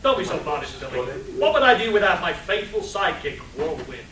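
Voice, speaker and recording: A man's voice: high-pitched, big pitch swings, a little fast, crowd noise. A man playing an earnest, preachy environmentalist superhero in a live comic radio play, declaiming pompously. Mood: stern, pompous. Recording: roomy, distant live stage capture, noisy.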